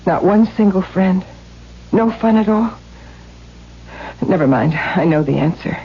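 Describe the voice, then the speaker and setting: A woman's voice: on the low side, expressive, unhurried, slightly rough, heavily acted. A woman playing a bold, bitter young runaway heiress and protective big sister, emphatic and theatrical in 1970s radio drama style. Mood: teasing, scornful. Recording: dull 1970s radio broadcast.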